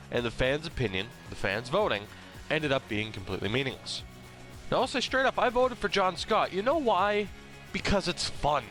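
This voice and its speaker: A young man with a cold talks in a slightly nasal, mid-pitched voice. He rants bluntly and animatedly, swearing now and then. Faint music sits underneath him. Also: a bit bright. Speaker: hockey commentator vlogger. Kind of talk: opinionated casual rant.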